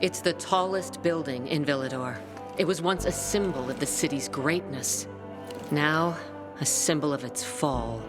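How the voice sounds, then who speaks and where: An actress playing a brusque, hardened peacekeeper officer in a video game, blunt and matter-of-fact. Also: female voice, low voice, unhurried. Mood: wry, grave. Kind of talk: video game dialogue acting. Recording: music behind the voice.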